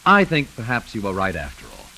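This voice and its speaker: Low, bright, priggish 1940s radio fiancé. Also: male voice, wildly swooping pitch, a little fast, creaky, exaggerated voice, rough recording. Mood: hesitant, ingratiating. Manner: formal, patronizing. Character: priggish, smooth-talking fiancé, fussy and condescending. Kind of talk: 1940s radio drama acting. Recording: hissy 1940s radio recording.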